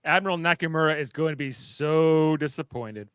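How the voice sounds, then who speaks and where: Bright, high-voiced male narrator. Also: moderate pace, smooth, dry recording. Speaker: narrator reading stage directions. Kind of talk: casual narration of a fan radio play script.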